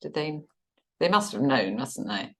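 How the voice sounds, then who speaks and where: A middle-aged woman with a notably low voice talks as an empathetic therapist host. She asks gentle questions and often ends them with tag questions. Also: sing-song, a little slow. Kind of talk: supportive interview conversation.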